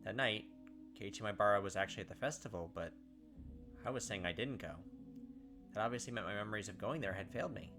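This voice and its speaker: A man's voice: lowish voice, quick pace, pauses often, over music. A man reading visual novel narration aloud as a let's player, breaking off for casual asides.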